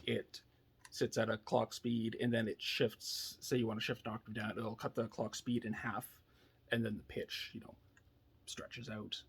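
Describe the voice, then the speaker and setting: A man giving a casual, unscripted technical demo in a laid-back, hesitant way, thinking aloud, with a husky, slightly gravelly voice. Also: fairly low pitch, flat delivery, moderate pace. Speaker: electronics builder demonstrating a device.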